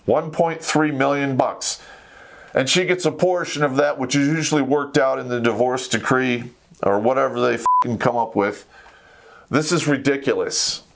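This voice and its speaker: A man's voice: mid-range voice, moderate pace, gravelly, a bit bright. Blunt American man on a rant. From United States. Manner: blunt, profane, conversational. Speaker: talk show host. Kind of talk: live opinionated rant to camera.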